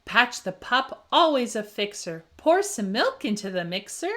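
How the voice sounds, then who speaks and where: A woman reads a picture book to children in a high, lively voice. She takes her time, keeping the rhyme rhythmic and leaning into key words with dramatic emphasis. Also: measured pace. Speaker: children's story reader. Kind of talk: expressive picture-book read-aloud.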